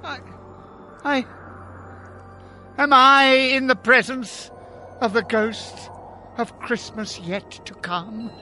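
An actor playing a fearful, pleading old miser in a radio drama, halting and stammering. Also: male voice, extremely high pitch, fairly even intonation. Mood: fearful, humble. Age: older. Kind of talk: theatrical radio drama acting. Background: music.

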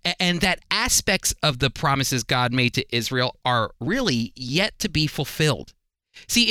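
A man's voice: a little high, bright, forceful tone, clear, resonant tone, dry recording, studio quality. A man giving sermon-like Bible teaching as a programme host, explaining emphatically with rhetorical questions and direct, folksy appeals to listeners.

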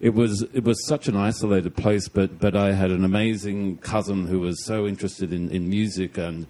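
A man from Australia hosting a podcast, asking long questions in a halting way with many repeated words. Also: low voice, steady tone, mellow, rough recording. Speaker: podcast host. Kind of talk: casual interview.

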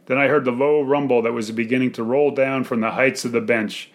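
A man reading his own first-person short story aloud in a measured, articulate way. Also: medium pitch, fairly even intonation.

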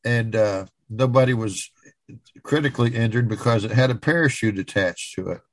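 A man's voice: medium pitch, normal speed, no room sound. A man co-hosting a podcast, casually retelling odd news stories in conversation. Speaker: podcast co-host.

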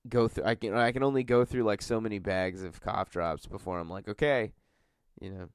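A man's voice: fairly low pitch, steady tone, brisk, clean tone, no room sound, clean studio sound. A man hosting a podcast, congested from a cold, chatting casually in a rambling, filler-heavy way. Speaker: podcast host.

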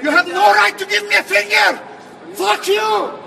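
A man yells in a shrill, gravelly voice, his words bursting out hard. He is far from the microphone outdoors, with a crowd and traffic around him. The recording sounds like a phone clip from a busy street. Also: fairly even intonation, moderate pace. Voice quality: high, strained shouting. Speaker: angry business owner in a viral video. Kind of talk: shouting street confrontation.

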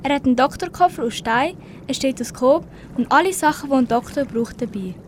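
A woman's voice: sits high, brisk, a little hiss or hum. A teenage girl reading scripted story narration for an amateur radio play.

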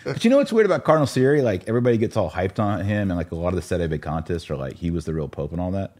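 A man's voice: low-pitched, animated, racing, darkish tone. A man hosting a podcast, talking casually and explaining religious matters in a conversational way. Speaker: podcast host.

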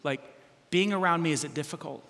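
A middle-aged pastor with a loud voice preaching, animated and self-deprecating, with sudden loud bursts as he tells stories. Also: a little high, brisk, gravelly. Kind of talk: sermon. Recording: long hall reverb.

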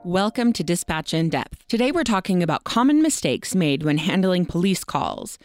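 A woman hosting a podcast in a conversational interview style, with a smooth, clear voice. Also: lowish voice, sing-song, normal speed, music in the background.

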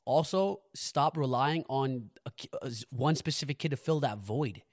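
A young man hosting a casual podcast, talking in an earnest, animated way with slang and bursts of laughter. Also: medium pitch, moderate pace. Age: young adult. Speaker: podcast host.

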